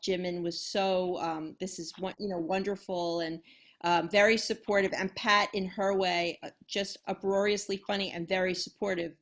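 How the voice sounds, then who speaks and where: A woman author on a video call with a loud voice, telling her story in an animated, self-deprecating way. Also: lowish voice. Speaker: panel guest author. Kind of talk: casual panel chat.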